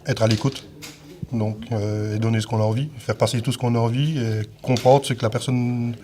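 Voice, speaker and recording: A soft-spoken man answering hesitantly in an interview. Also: medium pitch, steady tone, moderate pace.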